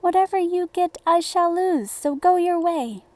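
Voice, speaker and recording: A woman's voice: extremely high pitch, measured pace, mellow, no room sound, voice pushed up. A woman voicing a vain, selfish young man in a fairy tale, haughty and dismissive.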